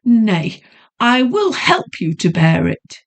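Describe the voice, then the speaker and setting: A woman voicing an earnest young gentleman suitor who speaks firmly and insistently. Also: on the high side, big pitch swings, takes it slowly, pitched above the performer's own voice, heavily acted.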